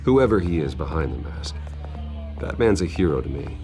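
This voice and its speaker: Brooding rich man, extremely deep voice, quiet, clipped, restrained, game music behind. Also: very animated, moderate pace. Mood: guarded. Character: brooding young billionaire, guarded and intense. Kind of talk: video game voice acting.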